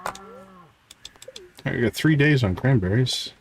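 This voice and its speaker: A man's voice: mid-range voice, animated, a little slow, gravelly, slight background noise. A man giving casual live gameplay commentary, thinking aloud and chatting with viewers as he plays. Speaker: live streamer playing a farming game.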